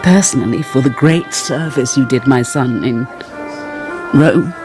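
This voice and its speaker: Gracious, gentle lady. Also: a bass voice, measured pace, over music. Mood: gracious, poised. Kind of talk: radio drama acting.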